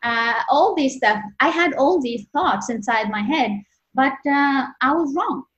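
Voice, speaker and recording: A woman in her thirties from Egypt with an Egyptian accent, answering interview questions as a medical resident, earnest and halting with many restarts. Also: high-pitched, lively intonation, a bit bright. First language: Arabic. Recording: dull lo-fi audio.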